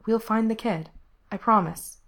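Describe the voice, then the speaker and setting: A woman voicing a caring, guilt-ridden young male rebel with a gentle, earnest manner in a story. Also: on the high side, moderate pace, pitched above the performer's own voice, lightly acted. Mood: gentle, reassuring. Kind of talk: acted audiobook dialogue.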